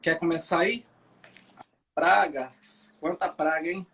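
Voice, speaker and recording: A man, hosting a morning Bible live stream, relaxed and chatty, often restarting sentences. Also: on the high side, slow, vocal fry, slight background noise. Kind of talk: casual chat, prayer and Bible commentary. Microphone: phone or webcam for a live stream.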